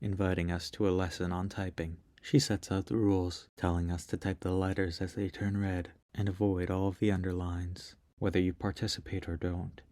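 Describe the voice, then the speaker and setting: A man with a notably deep, low voice narrates a scripted review. His delivery is measured and even, like steady storytelling. The recording is close and dry, with no room sound. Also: no echo. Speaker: video essayist.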